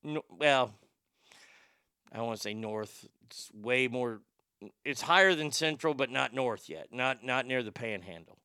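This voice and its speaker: Middle-aged male host, stutters, blunt and profane, bright, clean, dry voice. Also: medium pitch, expressive, a little slow, clean tone, no room sound. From Texas. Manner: rambling, blunt, profane, laughs at his own jokes. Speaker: livestream show host. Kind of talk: casual chat, reading viewer comments.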